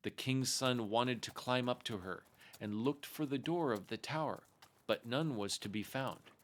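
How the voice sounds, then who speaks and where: A man narrating a fairy tale aloud as a storyteller, expressive and measured in his delivery. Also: mid-range voice.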